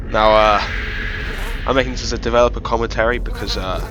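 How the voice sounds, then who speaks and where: A man's voice: on the low side, steady tone, fast, background noise. A man with a cold, sounding nasal and sniffly, giving a rambling, self-deprecating developer commentary on his own game map with lots of fillers. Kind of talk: casual gameplay commentary. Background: loud game music.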